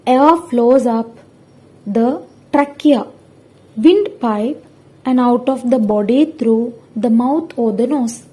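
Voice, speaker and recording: Young woman student reading a lesson off a script, slow n halting, kinda mellow voice. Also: on the high side, husky. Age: young adult. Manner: careful, halting, word-by-word delivery. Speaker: student presenter. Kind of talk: scripted lesson presentation, read out.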